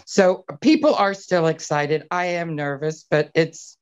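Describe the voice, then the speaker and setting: A woman's voice: sits low, unhurried, smooth. A middle-aged woman chatting casually on an online call, talkative and rambling. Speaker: fan attending a group hangout. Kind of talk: casual personal chat. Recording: dull lo-fi call audio.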